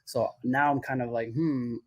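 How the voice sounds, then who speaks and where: A man chatting as a guest on a podcast in a loose, rambling way, dropping plenty of fillers as he talks. His voice sits at an ordinary middle pitch. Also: fairly even intonation, normal speed, no echo, lo-fi sound. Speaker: podcast guest who works on athlete branding. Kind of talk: casual podcast chat.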